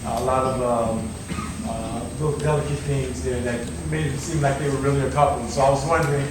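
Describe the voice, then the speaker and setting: A man's voice: medium pitch, fairly even intonation, fairly quick, background noise. A man in the audience asking a hesitant question. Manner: hesitant with fillers. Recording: echoey hall, distant from the mic.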